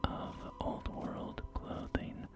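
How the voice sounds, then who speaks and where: A man performing a whispered ASMR roleplay as a courteous real estate agent, speaking in polite, hesitant sales patter, with music behind him. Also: extremely slow. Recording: whisper under loud background music. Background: music and game audio.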